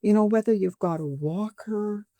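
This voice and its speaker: A woman's voice: mid-range voice, moderate pace, dark tone, dry recording. A woman in her seventies, a boutique owner, chatting animatedly in an interview and acting out conversations with customers. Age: 70s.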